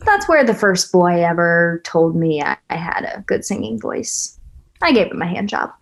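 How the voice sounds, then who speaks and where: Actress as a jaded, animated single woman. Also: fairly low pitch, normal speed, creaky. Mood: wry. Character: jaded, blunt, wistful single woman on a date. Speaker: actor in a table read. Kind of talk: conversational script reading.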